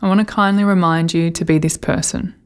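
A woman leading a guided meditation, giving gentle, soothing instructions with unhurried pauses. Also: lowish voice, steady tone.

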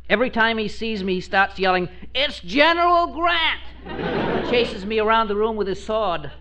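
An actor playing a whiny, timid brother-in-law in an old radio comedy, complaining in a sardonic way. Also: male voice, sits high, sing-song, measured pace, sharp tone, heavily acted. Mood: nervous. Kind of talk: 1940s-50s radio comedy acting. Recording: muffled, very noisy vintage radio recording.

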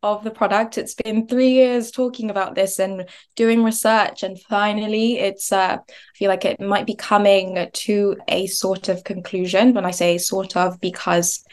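A woman's voice: mid-range voice, flat delivery, measured pace. A young woman from Nigeria talking chattily as a podcast guest, often laughing and restarting words. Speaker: guest, business founder. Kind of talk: podcast interview.

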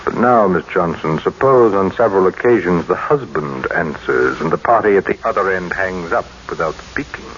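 A man's voice: deep voice, animated, moderate pace, hardly any pauses, a bit bright. An actor playing a cold, suspicious middle-aged businessman in an old-time radio drama, with a brusque, commanding manner. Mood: probing, controlled. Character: cold, imperious, suspicious businessman husband, decisive. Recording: muffled, noisy old radio recording.

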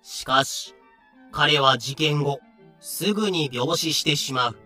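A man's voice: on the high side, slow, sharp tone, faint music behind. A man reading scripted narration for a voice drama.

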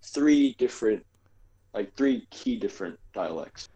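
A man's voice: lowish voice, animated, unhurried, mellow, low-quality recording. A man co-hosting a podcast, talking casually in a rambling way with lots of fillers and hedges. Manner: rambling, filler-heavy, hedging. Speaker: podcast co-host. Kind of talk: casual chat.

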